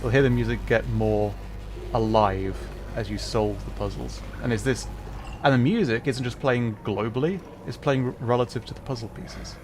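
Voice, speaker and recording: A man's voice: medium pitch, moderate pace, background noise. A man streaming a puzzle game as a VTuber, giving chatty, self-deprecating commentary and laughing often. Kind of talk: casual gameplay commentary.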